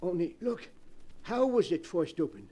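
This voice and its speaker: A man's voice: high-pitched, huge pitch swings, normal speed, warm tone, husky, full character voice. An actor playing a fearful, deferential rustic handyman with a hesitant, folksy manner in a 1940s radio drama. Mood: alarmed, uneasy. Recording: vintage 1940s radio broadcast.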